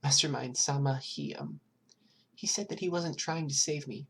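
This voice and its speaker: A narrator voicing a frightened, timid little girl in an audiobook, speaking haltingly and hesitantly. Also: mid-range voice, gravelly, a little hiss or hum, pitched above the performer's own voice, lightly acted. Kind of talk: acted audiobook dialogue.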